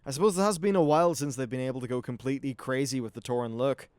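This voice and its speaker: A man's voice: medium pitch, rapid-fire, a little mellow, slight background noise. A man hosting a gaming video, giving casual, informal gameplay commentary with some swearing.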